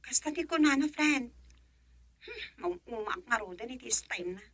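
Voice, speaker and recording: An actress voicing a chatty young woman gossiping with her cousin in a radio drama, lively and dropping to a whisper for secrets. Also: on the high side, measured pace, husky, no room sound, heavily acted. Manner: lively, drops to a whisper when sharing secrets.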